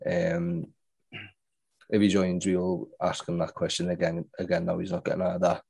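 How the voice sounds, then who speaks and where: A man's voice: sits low, monotone, moderate pace, vocal fry, a little mellow, breathy edge, no room sound. A man hosting a casual chat over a video call, joking and laughing often. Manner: jokey, laughs often, stumbling starts. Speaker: host.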